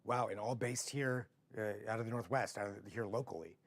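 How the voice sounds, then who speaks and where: Male TV host, American accent, hoarse rough voice, lowish pitch, dry close sound, casual rambling delivery. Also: fairly quick, no echo. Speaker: TV show host. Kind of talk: casual live interview.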